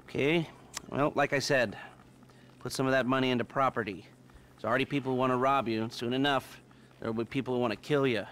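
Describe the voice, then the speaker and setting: An actor playing a cynical, self-loathing male hacker in a video game, halting and sardonic. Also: mid-range voice, steady tone, a bit bright, slight background noise, lightly acted. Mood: sardonic, awkward. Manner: halting, sardonic, nervous chuckling. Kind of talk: video game cutscene acting.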